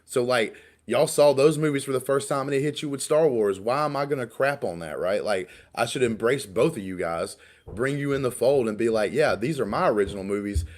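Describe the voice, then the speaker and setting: A man's voice: medium pitch, brisk. A man in his late thirties co-hosting a casual podcast chat, talking in a rambling way and restarting his words often. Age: 30s. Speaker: podcast co-host.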